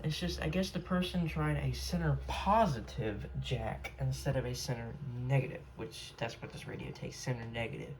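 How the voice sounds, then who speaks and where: Creaky low-voiced man rambling casually. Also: steady tone, normal speed, few pauses, darkish tone, a little hiss or hum, poor audio. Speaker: hobbyist collector showing a radio collection. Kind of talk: casual unscripted show-and-tell.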